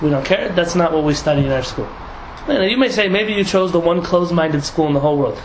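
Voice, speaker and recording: A young man from San Diego, a rabbi talking casually to a group, lively and laughing with many restarts and asides. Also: on the high side, fast talker. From San Diego, United States. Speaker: rabbi teaching a class. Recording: echoey room, audible noise.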